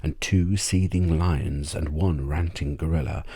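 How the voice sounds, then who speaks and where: Male bedtime-story reader for ASMR audiobook: deep, dark-toned, measured storytelling. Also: fairly even intonation, husky.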